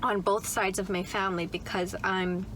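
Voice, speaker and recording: A young woman talks straight to her phone from the seat of a car. Her voice sits at an ordinary middle pitch, and she rambles in a friendly, upbeat way, tagging thoughts with "like" and "right?". A faint hiss sits under the recording. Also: flat delivery, normal speed, a bit bright, poor audio. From Canada. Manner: chatty, upbeat, full of "like" and "right?". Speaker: vlogger. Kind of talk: casual chat to camera. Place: inside a parked car.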